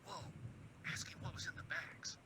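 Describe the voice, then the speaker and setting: An actor playing a radio call screener who makes wry, exasperated asides. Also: male voice, normal speed. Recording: steady background noise.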